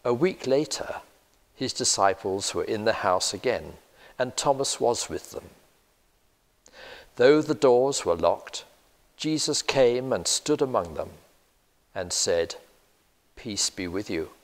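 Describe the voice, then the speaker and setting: Older male reader, slow, deliberate scripture reading with church reverb. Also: lowish voice. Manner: steady, deliberate reading aloud. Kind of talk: Bible reading. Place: church, indoors, seated at a lectern.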